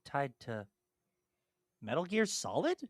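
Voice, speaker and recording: A woman co-hosts a casual chat, thinking aloud and poking fun at herself as she guesses. Her pitch swings widely, though her pace stays slow. The sound is dry and close. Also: no echo. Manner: animated, self-deprecating, thinks aloud and repeats clues. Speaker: podcast co-host.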